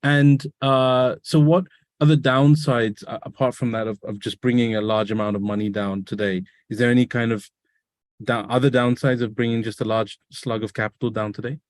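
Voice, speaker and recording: A man's voice: medium pitch, fairly even intonation, normal speed, no echo, clean studio sound. A man hosting a business webinar over a video call, asking guests questions with curious follow-ups. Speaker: webinar host. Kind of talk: conversational webinar interview.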